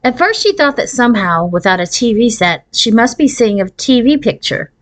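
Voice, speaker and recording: A woman's voice: on the high side, sing-song, moderate pace. A woman narrating a children's novel as a read-aloud, following a written script. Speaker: audiobook reader.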